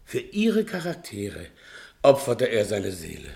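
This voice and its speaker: A theatrical, self-pitying fat servant, wildly swooping. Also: male voice, fairly low pitch, huge pitch swings, a little slow, gravelly, full character voice. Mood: anguished declaiming. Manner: melodramatic, wheedling, swinging from hushed to declaiming. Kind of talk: 1960s German radio play acting.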